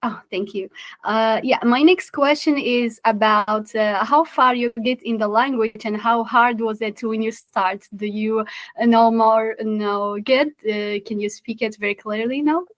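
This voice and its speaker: A woman's voice: on the high side, fairly even intonation, measured pace. Woman hosting, hesitant phrasing. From Morocco. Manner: hesitant, searching for words. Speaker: podcast host. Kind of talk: casual interview chat.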